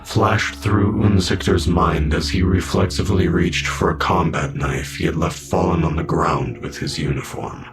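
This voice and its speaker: A man narrating a dark sci-fi audio drama as a grave, brooding storyteller. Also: deep voice, lively intonation, normal speed, creaky, hardly any pauses, over loud music. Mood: tense, ominous.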